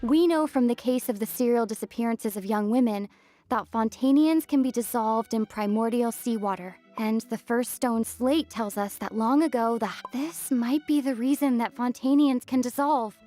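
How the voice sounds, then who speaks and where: High-voiced, thoughtful young adventurer played by a woman. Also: warm tone, close to an everyday voice. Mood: thoughtful, serious. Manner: measured, reasoning aloud. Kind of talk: video game character dialogue. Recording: music playing behind the voice.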